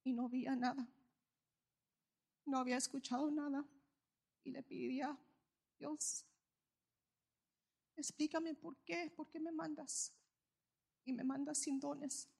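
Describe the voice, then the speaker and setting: A woman shares her testimony before a church group. Her voice sits a little high and stays fairly flat, and she speaks in halting, heartfelt phrases, pausing on fillers. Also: gravelly. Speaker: church member giving a testimony.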